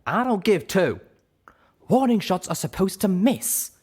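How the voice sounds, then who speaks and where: A man's voice: high voice, big pitch swings, measured pace, a little mellow, husky, voice pushed up, full character voice. A man voicing a stern, scolding female pony medic in a story reading.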